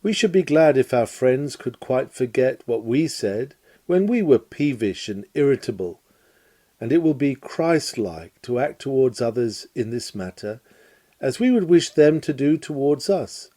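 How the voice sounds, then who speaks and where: A man reading a lecture aloud as scripted narration, in a measured, emphatic, oratorical manner. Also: mid-range voice, expressive, warm tone. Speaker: reader of a historic sermon lecture.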